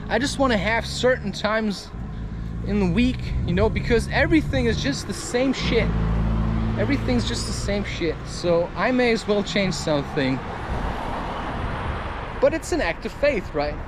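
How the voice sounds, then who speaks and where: A man's voice: high-pitched, background noise. A man giving a candid, rambling personal monologue for a vlog, musing and often checking himself with tag questions. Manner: musing, repeatedly seeking agreement with tag questions. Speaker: vlogger and podcaster.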